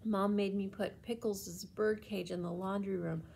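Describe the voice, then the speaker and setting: A woman's voice: mid-range voice, fairly even intonation, measured pace, gravelly, a little mellow, a little hiss or hum. A woman voicing a sweet, worried young girl in a storybook, confiding in a plain way.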